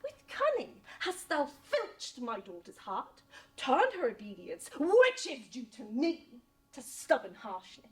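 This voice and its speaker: A woman's voice: high voice, wildly swooping pitch, exaggerated voice. A woman performing a Shakespearean monologue as a vexed father accusing and demanding obedience in declamatory style. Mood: indignant. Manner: declamatory, accusing, drawn-out delivery.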